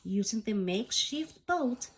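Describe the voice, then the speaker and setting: Slow, lively high-voiced woman reading a story. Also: slight background noise. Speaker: bedtime story reader. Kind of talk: scripted storybook narration read aloud.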